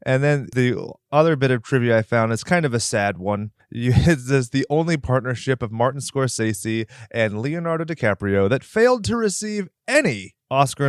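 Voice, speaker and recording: A man's voice: mid-range voice, smooth, no room sound, clean studio sound. A man hosting a podcast, chatting casually about movies in a joking, playfully theatrical manner. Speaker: podcast host. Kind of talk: casual movie review chat.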